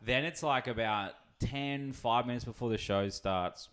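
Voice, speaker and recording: A man's voice: medium pitch, unhurried, a bit bright, clear, resonant tone. A man hosting a podcast in casual chat, rambling through stories and laughing as he talks. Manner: rambling storyteller, laughs while talking. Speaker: podcast host.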